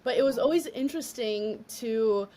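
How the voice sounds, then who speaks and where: Bright-voiced young woman telling her story. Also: fairly high pitch, normal speed. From United States. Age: young adult. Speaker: guest. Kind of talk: casual podcast chat.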